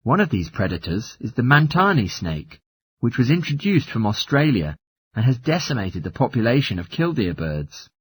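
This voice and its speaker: A man reading a scripted academic lecture in a formal, measured manner. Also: medium pitch, big pitch swings, breathy edge, no room sound. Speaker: lecturer in a listening test recording.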